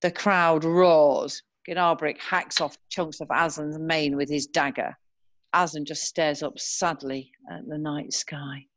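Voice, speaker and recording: A woman narrating a screenplay, reading scene headings and stage directions in a measured way. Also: low-pitched, steady tone, no room sound.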